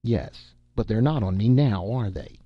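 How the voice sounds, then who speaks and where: A man's voice: on the low side, unhurried. A man voicing an aggrieved tattooed circus man, defiant and argumentative, in a storybook reading. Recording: dull, roomy amateur audiobook recording.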